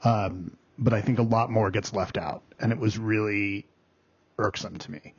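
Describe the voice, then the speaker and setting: A man film critic in a casual review chat, talking haltingly with restarts, then pushing hard on his points. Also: lowish voice, steady tone, husky. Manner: halting, many restarts and fillers, then bursts of emphasis. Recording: dull lo-fi audio.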